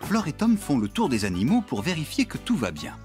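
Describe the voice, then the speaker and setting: Un homme qui fait la narration écrite d'un dessin animé pour enfants. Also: male voice, a little high, wildly swooping pitch, a little fast, a little mellow, soft background music. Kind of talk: scripted children's cartoon narration.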